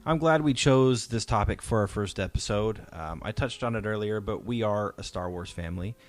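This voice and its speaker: A man's voice: fairly low pitch, normal speed. A middle-aged man hosting a casual family podcast chat in an encouraging manner. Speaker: podcast host.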